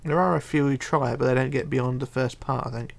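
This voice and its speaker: Gravelly-voiced man streaming a game. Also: mid-range voice, fairly even intonation. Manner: rambling, chatty, halting with fillers and restarts. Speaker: Minecraft livestreamer. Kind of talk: casual livestream, reading chat aloud.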